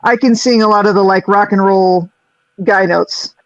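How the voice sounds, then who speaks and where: A woman with a low voice, a singer who also runs live sound, chatting loosely on a group call with lots of restarts. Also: moderate pace, lo-fi sound, crowd noise. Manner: rambling, restarts, self-deprecating laughs. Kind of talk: casual group video-call chat.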